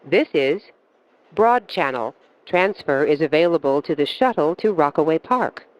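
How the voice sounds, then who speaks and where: A woman reading scripted automated subway announcements in a formal, measured, phrase-by-phrase manner. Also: deep voice, expressive, muffled recording.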